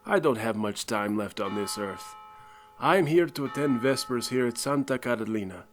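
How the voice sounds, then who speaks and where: A man acts a kindly old physician in an audio drama. His voice sits at a middle pitch. He talks courteously and earnestly, with measured devotion. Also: expressive, faint music behind, close to an everyday voice. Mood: genial, wistful. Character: kindly, devout, old retired family doctor.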